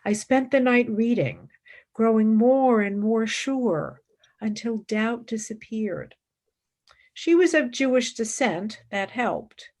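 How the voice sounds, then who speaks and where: Woman acting devout female mystic, high voice, very slow delivery, intense and reverent, formal radio play declamation. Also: animated, a little mellow, full character voice. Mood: calm, resolute. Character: fervent, devout woman philosopher turned ecstatic mystic.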